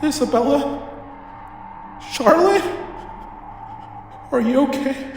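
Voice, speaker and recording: A high, slow, pleading voice as a terrified lost youngster in a horror drama. It cries out from far off in a deeply echoing space. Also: female voice, animated, a little mellow, soft background music, full character voice. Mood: frightened searching. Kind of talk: horror audio play acting. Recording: very echoey hall, far from mic.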